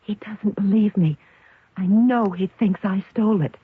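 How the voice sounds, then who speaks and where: An actress playing an earnest, anxious young city bank trainee in a radio drama, speaking politely and nervously. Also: female voice, medium pitch, normal speed, breathy edge. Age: young adult. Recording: tinny, noisy old radio broadcast recording.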